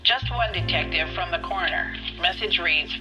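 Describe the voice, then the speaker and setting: Muffled radio, efficient American operator. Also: female voice, fairly low pitch, moderate pace. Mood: polite, businesslike. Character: polite, efficient 1940s police switchboard operator. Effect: radio or telephone filter. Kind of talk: dramatic video game acting. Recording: dull, filtered radio line with music.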